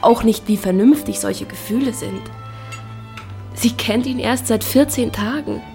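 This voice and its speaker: Spirited, higher-voiced young gentlewoman. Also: unhurried, vocal fry. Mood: earnest, amused. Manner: playful, teasing, self-possessed. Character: spirited, witty young gentlewoman with a teasing streak. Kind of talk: German radio drama acting. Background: music.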